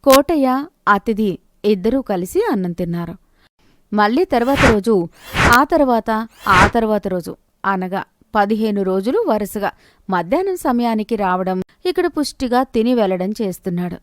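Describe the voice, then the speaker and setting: A woman's voice: medium pitch, animated, normal speed, a little hiss or hum. A woman narrating a scripted moral story in a storybook style.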